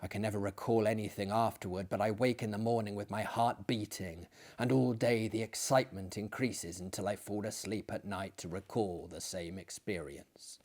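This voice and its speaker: A man voicing a gentle, anxious artist in a gothic story, speaking to his friend in an earnest, grave, confiding way. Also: on the low side, fairly even intonation, moderate pace, hardly any pauses, pitched below the performer's own voice, lightly acted. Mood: restless, anxious.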